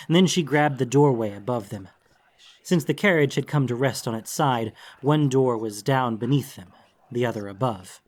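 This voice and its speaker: A man reading a scripted fantasy story as an audiobook narrator, in a dramatic storytelling style. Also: mid-range voice. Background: background music.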